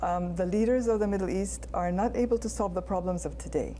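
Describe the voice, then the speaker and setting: A woman's voice: mid-range voice, fairly quick, warm tone, a little hiss or hum. A woman from Jordan, a real estate agent, chatting casually as an interview guest, often laughing and saying um. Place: indoor studio.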